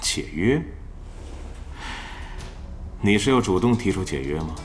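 A man's voice: low-pitched, lively intonation, measured pace, faint music behind. A man playing a stern, businesslike club manager in an audio drama, speaking in a cold, formal, official way.

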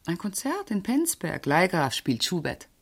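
A middle-aged woman in a radio drama, the sensible confidante. Her voice is deep and husky with a creaky edge. She talks in a wry, matter-of-fact way and likes to tease. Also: very animated, unhurried, exaggerated voice. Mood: irritated. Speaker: radio play actor. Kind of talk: German radio drama dialogue.

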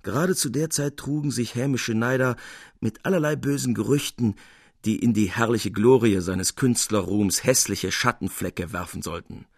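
A man's voice: medium pitch, moderate pace, breathy edge, no echo, clean studio sound. A man giving a formal, articulate reading of a classic literary novella as narrator. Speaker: reader, narrator.

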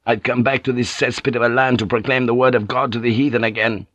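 A man reading an audiobook and voicing a hostile Spanish friar with a rasping, zealous, declamatory manner. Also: medium pitch, little pitch movement, quick pace, fairly bright tone, no room sound, voice pushed up, natural voice. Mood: defiant.

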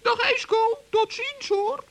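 Teeny forest gnome, really really high voice, sweet and polite, kids radio play. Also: male voice, slow, a bit bright, a little hiss or hum, exaggerated voice. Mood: friendly. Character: soft-hearted, friendly little male forest gnome.

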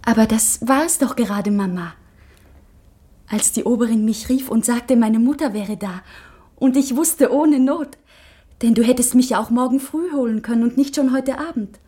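A woman plays a schoolgirl daughter in a radio drama, her voice high and youthful. She confides earnestly and anxiously, in poetic phrases that halt with intensity. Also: warm tone, natural voice. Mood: anxious, confiding. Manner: earnest, poetic, halting delivery. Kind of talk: literary radio play acting.

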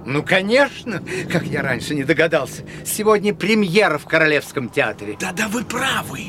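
An excitable gossip in an old radio play, his high voice leaping up and down as he chatters on. He piles up news eagerly, with music playing behind him. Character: excitable male gossip spreading theatre news. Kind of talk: 1970s radio play acting.

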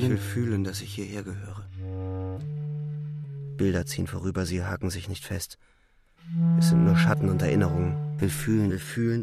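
A man's voice: deep voice, vocal fry. An actor playing a weary, alienated young soldier, narrating his inner thoughts in a brooding, intense radio drama monologue. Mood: agitated, intense. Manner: brooding, intense, insistent repetition.

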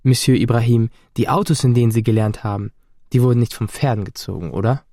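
A young male actor in a radio play playing a cheeky, quick-witted teenage boy with a teasing, questioning manner. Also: medium pitch, warm tone, dry recording, studio quality, close to an everyday voice. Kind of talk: German radio play acting.

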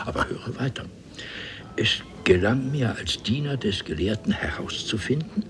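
An actor playing a haughty, eloquent nobleman from an ancient civilization, telling his story theatrically and deliberately in a 1970s German radio play. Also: lowish voice, a bit bright, full character voice. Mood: lofty, proud.

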